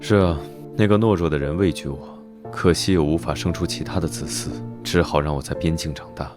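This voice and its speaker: A haughty young duke with an extremely deep voice. He speaks with smug, languid mockery and a possessive edge, in animated drama style, with music clearly audible behind him. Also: a little fast. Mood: bitter, detached.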